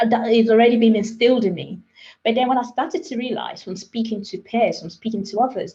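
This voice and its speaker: A woman who grew up in Nigeria, speaking as a panelist on a webinar, emphatic and lively, firing off questions. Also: medium pitch. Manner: animated, emphatic, with rising questions. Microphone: video call. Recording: lo-fi video call audio.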